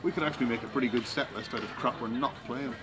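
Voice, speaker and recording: A man's voice: mid-range voice, fast. A man being interviewed as a band's drummer, chatting casually with dry wisecracks.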